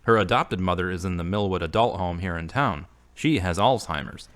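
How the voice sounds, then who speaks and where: A man playing an earnest, moody man returned to his faith, measured and subdued, in an audio drama. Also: low voice, a bit bright. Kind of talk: hobby audio drama acting.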